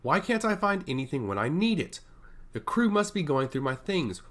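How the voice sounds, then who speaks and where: A man's voice: a little high, sing-song, normal speed, voice pushed up. A man playing a frantic, petulant young lord. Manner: shouting, irritable. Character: petulant young male noble, frantic and blaming.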